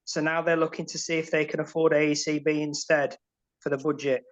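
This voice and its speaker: A man's voice: on the high side, little pitch movement, fairly quick. Builder guy rambling on a webinar, muffled call audio. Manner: earnest, frustrated, rambling. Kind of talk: casual chat on a webinar call. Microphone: video call.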